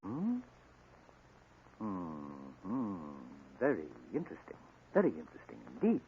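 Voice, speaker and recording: An actor playing a kindly, learned doctor with a deliberate, precise delivery in a 1940 radio drama. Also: male voice, on the low side, wildly swooping pitch, heavily acted. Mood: genial, inquisitive. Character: kindly, learned male doctor, secretive scientist friend. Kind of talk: 1940s radio acting. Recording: muffled, noisy 1940 radio recording.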